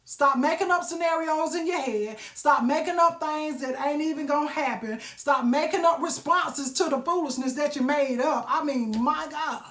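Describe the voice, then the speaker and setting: A woman's voice: high voice, normal speed, few pauses, a bit bright. A woman, giving a folksy, preachy motivational talk on a live stream and asking her audience questions. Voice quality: raspy. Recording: roomy echo.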